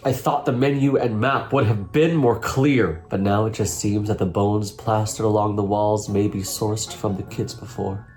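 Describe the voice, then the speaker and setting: Mellow man doing a dramatic reading. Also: lowish voice, fairly even intonation, normal speed, few pauses. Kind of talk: dramatic reading of a written review. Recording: faint music under the reading.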